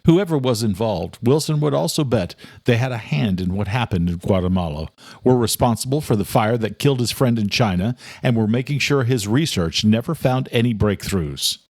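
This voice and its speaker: A man reading a scripted audiobook as narrator, telling the story in a dramatic, measured way. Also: mid-range voice, animated, a little mellow, no room sound, studio quality.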